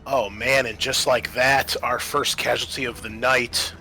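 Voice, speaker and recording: A man's voice: medium pitch, steady tone, a little slow, creaky, sharp tone, poor audio. A man acting as game master, narrating a tabletop game in a casual, bantering way. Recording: music behind the voice. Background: music.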